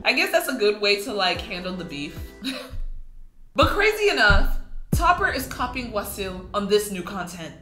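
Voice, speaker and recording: A young woman with a bright, animated voice that swings widely as she comments. She talks in casual slang with an incredulous, expressive delivery. The room is echoey, with faint music underneath. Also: mid-range voice, normal speed. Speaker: YouTube commentary host.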